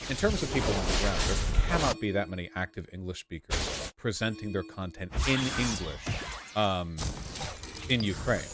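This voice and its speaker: A man's voice: fairly low pitch, expressive, normal speed, loud music behind the voice. A man in casual conversation, blunt and sardonic. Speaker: conversation partner.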